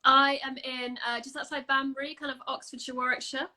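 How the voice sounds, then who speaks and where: A woman's voice: sits high, flat delivery, brisk, pushed and bright. A woman hosting an Instagram live from home, chatting in an upbeat, casual way on a phone or laptop. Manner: chatty and upbeat, full of everyday British phrases. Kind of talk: casual video chat. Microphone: phone or laptop for a livestream.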